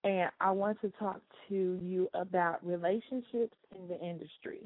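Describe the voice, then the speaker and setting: A woman's voice: on the low side, fairly even intonation, normal speed, no echo, phone-quality sound. A woman hosting a radio interview, chatty, dropping frequent "okay"s and "um"s. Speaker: radio show host.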